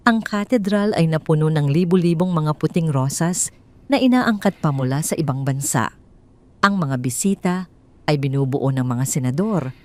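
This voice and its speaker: A woman's voice: on the low side, a little mellow. A woman with a Filipino accent narrating a scripted dramatic story.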